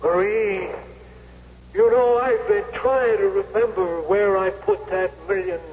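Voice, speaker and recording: An actor playing a sly con artist who poses as a spirit in a 1970s radio drama, with a deliberate, drawn-out delivery. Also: male voice, sits high, steady tone, a little hiss or hum. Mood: solemn, ghostly. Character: sly male con-artist sidekick posing as dead husband's spirit. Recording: muffled, reverberant old radio broadcast.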